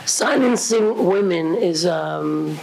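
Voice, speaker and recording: A woman in the audience asking a question, her voice going hoarse, pausing often and clearing her throat. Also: on the low side, expressive, a little mellow, a little hiss or hum.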